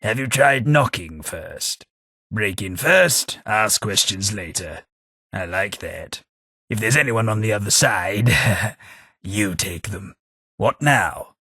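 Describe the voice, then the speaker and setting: A haughty old British aristocrat ghoul from a video game. The low voice is raspy yet bright and forceful. He speaks in a formal, pompous way, with dry wit. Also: animated, slow, dry recording, heavily acted. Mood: wry, pompous. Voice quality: raspy, gravelly. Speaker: video game companion character. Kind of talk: scripted video game voice acting.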